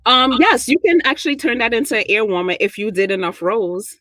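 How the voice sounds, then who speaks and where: A woman's voice: a little high, lively intonation, brisk, fairly bright tone, dry recording, clean studio sound. A woman from Brooklyn with a New York accent, chatting casually and sassily as a knitting mentor on a livestream. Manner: sassy, chatty, laughs often.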